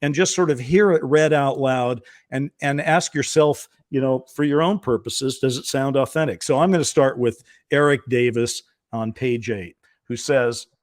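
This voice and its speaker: A man's voice: medium pitch, no echo. Man co-hosting, close dry sound. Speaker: co-host of a show. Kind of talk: informal chat, then cold reading of an interviewer's questions aloud.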